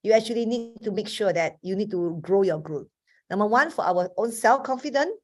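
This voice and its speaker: A woman's voice: medium pitch, expressive, fairly quick, darkish tone. Malaysian woman in her fifties, earnest. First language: Chinese. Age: 50s. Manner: earnest, restarts often. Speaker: webinar speaker. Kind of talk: motivational talk. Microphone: video call.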